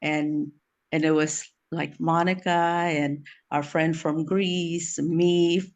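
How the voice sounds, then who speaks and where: Woman from el salvador raised in the us, informal heartfelt eulogy for a friend, kinda halting, repeats words a lot. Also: low-pitched, flat delivery. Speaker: friend giving a memorial tribute.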